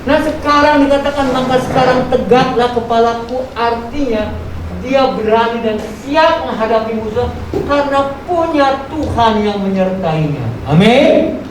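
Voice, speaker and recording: A woman's voice: a little high, measured pace. A woman preaches a sermon, exhorting with rhetorical questions and repeated phrases. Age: 70s. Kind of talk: sermon to a congregation. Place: large echoey room at a live church service. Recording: very echoey, distant, noisy live church recording.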